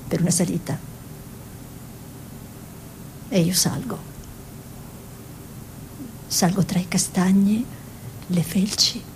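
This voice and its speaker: An impulsive artist wife speaks in a fairly high voice with theatrical feeling. She is gossipy and tenderly scolding, in a stage-style radio drama with faint noise and rumble behind her. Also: poor audio. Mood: wistful. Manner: theatrical, shifting between exclamations and soft musing.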